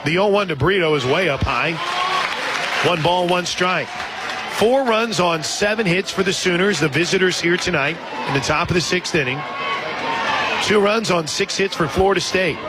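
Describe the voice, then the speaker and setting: A man doing live radio play-by-play commentary of a softball game as the announcer. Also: high voice, normal speed, long pauses, heavy background noise. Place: outdoor softball stadium. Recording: crowd roar and loud music behind. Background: loud crowd and music.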